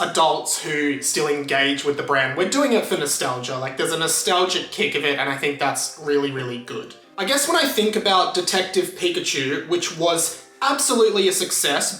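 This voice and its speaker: Casting: Australian male vlogger, enthusiastic and chatty, forceful bright tone, recorded in an echoey room. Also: fairly high pitch. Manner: enthusiastic, conversational. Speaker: YouTube host. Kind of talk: casual pop culture commentary to camera. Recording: roomy echo, music underneath.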